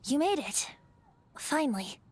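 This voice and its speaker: A woman's voice: sits high, sing-song, measured pace. A woman voicing a youthful, playful male wind spirit bard in an anime game, with a light boyish voice and lilting storyteller's delivery. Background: background music.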